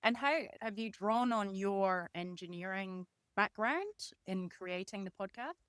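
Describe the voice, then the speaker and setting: A woman's voice: medium pitch, measured pace, fairly bright tone, clear, resonant tone, dry recording. A woman hosting an interview podcast, introducing her guest and asking questions.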